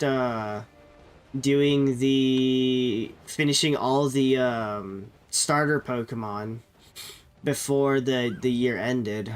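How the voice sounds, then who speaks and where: A man streaming a game while sick with a cold, sounding nasal and chatting loosely with viewers, often correcting himself. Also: medium pitch. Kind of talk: casual live-stream chat.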